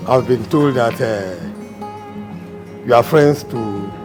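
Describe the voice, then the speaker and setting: A man's voice: mid-range voice, takes it slowly, music in the background. A man, speaking as a palace official who politely and formally welcomes visiting guests. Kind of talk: ceremonial welcome.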